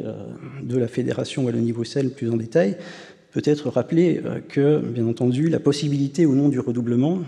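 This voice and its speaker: A man's voice: mid-range voice, vocal fry, warm tone. Hesitant man lecturing in a hall. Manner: hesitant, many "euh" fillers and restarts. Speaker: university professor giving a conference talk. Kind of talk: academic lecture with slides. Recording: long reverb of a lecture hall.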